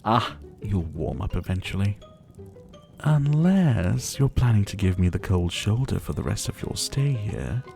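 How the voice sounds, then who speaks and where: A man playing a suave, possessive vampire who was once a doctor, speaking to the listener in a theatrical, softly menacing ASMR roleplay. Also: lowish voice, sing-song, a little slow, gravelly, over music, heavily acted. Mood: teasing, controlling. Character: older male vampire captor, former doctor, suave, possessive.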